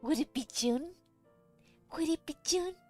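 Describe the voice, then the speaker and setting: A woman's voice: high-pitched, measured pace, mellow. A woman whose first language is Cantonese, chatting casually on a livestream while she draws, rambling and answering chat questions. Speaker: streamer drawing a webcomic. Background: faint music.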